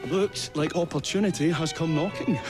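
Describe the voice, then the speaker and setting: A man's voice: fairly high pitch, normal speed, music in the background, natural voice. A man playing a flustered eccentric inventor. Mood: nervous. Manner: flustered, hesitant, full of ums and uhs. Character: nervous, eccentric young male inventor. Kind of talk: video game cutscene acting.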